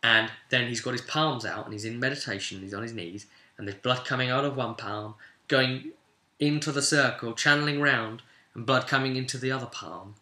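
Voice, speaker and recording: Echoey room, british guy telling his tabletop game story, really bright sharp voice, chatty n slangy. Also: medium pitch, normal speed. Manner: animated, chatty, cheeky, slangy. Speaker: game master recapping a tabletop session. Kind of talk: casual storytelling recap of a role-play game. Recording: roomy, echoing room sound.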